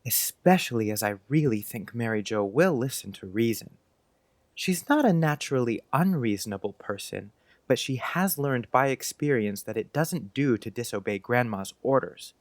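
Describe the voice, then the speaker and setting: A man's voice: medium pitch, lively intonation, moderate pace, dry recording, close to an everyday voice. Guy doing a polite precocious little boy for an audiobook, very earnest, dry recording w music under it. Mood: solemn, proud. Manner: earnest, precise, old-fashioned wording. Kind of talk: audiobook character acting.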